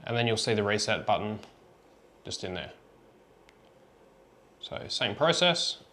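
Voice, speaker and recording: A man's voice: fairly low pitch, very animated, a little slow, sharp tone. A man presenting a hands-on product tutorial, explaining each step in an informal, practical way. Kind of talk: hands-on how-to demonstration.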